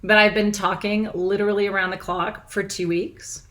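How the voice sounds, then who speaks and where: A middle-aged woman with a froggy, hoarse voice, hosting a live online ritual from her small house in casual, bubbly chat, laughing often. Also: medium pitch, level tone, moderate pace, fairly bright tone. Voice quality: froggy, husky. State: hoarse, nearly lost voice. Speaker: spiritual teacher hosting a live full moon ritual.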